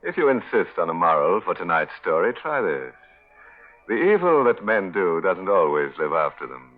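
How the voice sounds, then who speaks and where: A narrowband vintage radio recording with music carries a very deep male voice. He is a horror host in 1940s style, sardonic and playfully macabre. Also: sing-song, normal speed.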